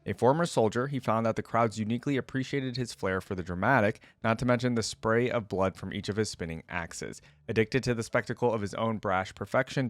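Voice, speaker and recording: A man's voice: on the low side, dry recording. A man doing casual reaction commentary, reading text aloud and adding his own remarks. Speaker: host of a gaming reaction channel.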